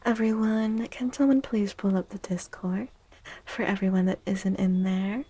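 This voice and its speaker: Female ASMR live stream host: slow, soft and mellow, drowsy chatty delivery that slips into whispers. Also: mid-range voice, fairly even intonation. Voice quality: soft, breathy. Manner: very chill, drowsy, often dropping to a whisper. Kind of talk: soft-spoken ASMR chat with stream viewers.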